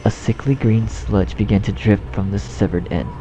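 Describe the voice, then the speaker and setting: A man's voice: on the low side, fairly even intonation, moderate pace, poor audio. A man reading a horror story as narrator in a scripted style. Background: background music.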